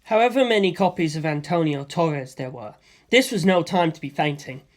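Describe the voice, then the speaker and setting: A woman narrating a story in a steady, expressive audiobook reading. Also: low voice, normal speed. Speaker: reader.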